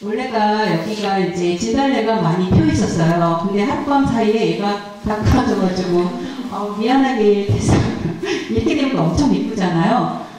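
A woman's voice: medium pitch, animated, moderate pace, crowd noise. A middle-aged woman explaining her flower arrangement at a church seminar in a chatty, self-deprecating way, speaking into a handheld mic. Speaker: flower arranging instructor presenting her work. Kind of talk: casual seminar talk. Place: large church hall, indoors. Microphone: handheld mic, heard through hall speakers. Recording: echoey hall, voice from far off.

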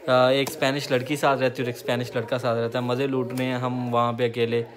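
A man, chatting casually to a phone camera held at arm's length as he vlogs on city streets and in a flat. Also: medium pitch, quick pace. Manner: chatty, walking and talking to a handheld camera. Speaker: vlogger. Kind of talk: casual vlog chat. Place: city streets outdoors, then a flat indoors.

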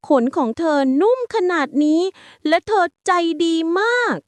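A woman voicing a small frightened young mouse in a bedtime storybook, crying out in a high voice. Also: sing-song, measured pace, dry recording, exaggerated voice. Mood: grateful. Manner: high pleading cries.

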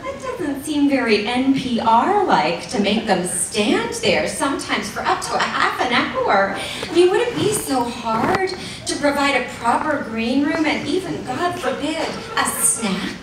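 A woman's voice: sits high, big pitch swings, unhurried, crowd noise. A middle-aged woman giving a dramatic stage reading of letters with exaggerated indignation, into a stand mic. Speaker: stage reader. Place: stage in a large hall. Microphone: stand mic at a music stand. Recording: echoey hall from far off.

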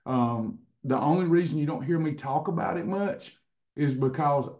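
A hoarse man in his fifties hosting a casual online recipe and wellness class over a webcam, chatty, with frequent restarts. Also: a little high, moderate pace, a little mellow. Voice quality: slightly hoarse, clears throat. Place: indoors in front of a printed backdrop. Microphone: webcam on a video call.

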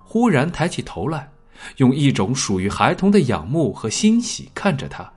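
A man tells a story as an audiobook narrator. His voice is husky and moves expressively up and down in pitch. Soft music plays behind the dramatic, scripted reading. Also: big pitch swings, moderate pace. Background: background music.

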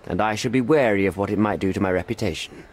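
An actor playing a middle-aged envoy in a video game, formal, evasive and smooth-talking, with a placating, pleading manner. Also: male voice, on the low side, fast, close to an everyday voice. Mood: evasive, regretful. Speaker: British envoy. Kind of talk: video game cutscene acting.

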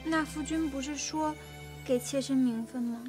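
A woman's voice: high-pitched, normal speed, a little mellow, close to an everyday voice. A woman voicing a coy teenage concubine who is sweet, wheedling and playfully scheming, in an audio drama. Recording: background music under the voice.

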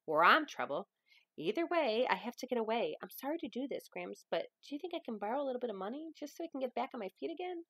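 A hurt, defensive woman around thirty, played by a woman reading aloud, high in pitch and emotional. She pleads her case in a dramatic audiobook-style novel reading, with a dry sound. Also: sing-song, fast, a bit bright, slightly rough, no room sound, exaggerated voice. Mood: pleading.

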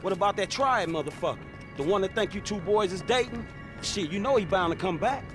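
An actor with an American accent playing a blunt, exasperated young street criminal in a video game, talking in slang. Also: male voice, high-pitched, animated, brisk. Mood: weary. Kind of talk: video game cutscene acting. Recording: loud music behind the voice.

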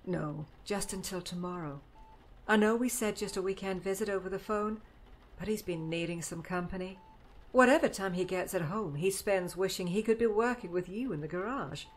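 A woman acts out a middle-aged mom who dotes and frets over her grown daughter. Her delivery is emotional and fussing, at a medium pitch, as in a dramatized audiobook. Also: moderate pace, natural voice, poor audio. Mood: worried, gentle. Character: fretful, doting middle-aged mother of an adult daughter.

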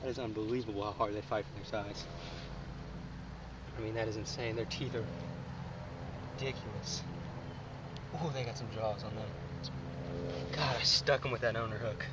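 A young man gushes to his camera, breathless and excited. His voice sits a little low, and it is picked up from several steps away by the camera mic. Plenty of outdoor noise hangs behind him. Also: normal speed, pauses often, distant mic. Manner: breathless, excited, laughing often. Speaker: fishing vlogger. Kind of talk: excited outdoor fishing commentary. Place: outdoors on a pond bank. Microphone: camera on a tripod some distance away.

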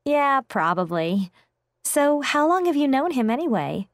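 An interested female friend speaks scripted course lines. She is slow and fairly high, with lots of pitch movement. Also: sing-song, no room sound, exaggerated voice. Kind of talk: scripted language-course dialogue.

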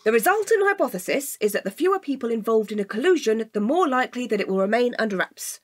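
A woman's voice: fairly high pitch, fast talker. A woman playing a pedantic, dry-witted logician, expressively inflected. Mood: lecturing. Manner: deadpan, precise, explanatory. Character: deadpan, pedantic, logical woman, wearily patient. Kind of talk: comedic audio drama acting.

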